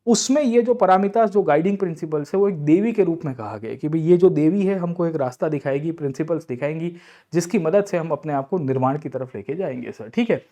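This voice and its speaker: An Indian man with a fairly high, soft and mellow voice works through exam questions like a coaching teacher. He explains point by point, talks directly to his students and often checks in with short tags. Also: expressive, brisk. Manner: explanatory, addresses listeners as sir and brother, checks in with tag words. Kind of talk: lecture-style exam question analysis, Hindi mixed with English.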